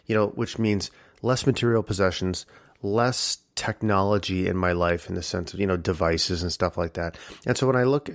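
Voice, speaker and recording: A man hosting a casual solo podcast with a husky voice, reflective and rambling with many fillers. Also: deep voice, no echo. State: early-morning tired voice. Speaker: podcast host.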